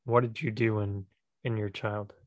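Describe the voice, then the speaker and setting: A man's voice: fairly low pitch, normal speed, no room sound, lo-fi sound. A young American man hosting a casual podcast over a remote call, asking questions in a loose way full of "like" and "I mean.". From United States. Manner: relaxed, filler-heavy questioning. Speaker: podcast host.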